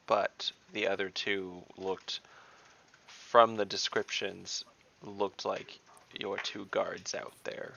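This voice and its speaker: A man improvises as a player in an online tabletop game. His voice is low and creaky, with a forceful edge, and he pieces his words together tentatively. The audio is a touch dull. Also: slight background noise. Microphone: online voice call.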